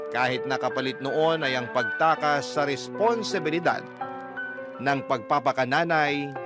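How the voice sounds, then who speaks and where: Earnest male radio storyteller. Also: on the high side. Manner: reading a listener's letter in first person, earnest. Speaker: radio drama narrator. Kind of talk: scripted radio narration. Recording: music bed under the voice.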